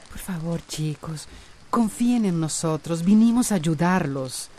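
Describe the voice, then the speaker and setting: A woman's voice: fairly low pitch, expressive, unhurried, warm tone. An actress playing a soothing, compassionate woman with a Costa Rican accent in a radio drama. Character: soothing, compassionate woman comforting troubled teenagers.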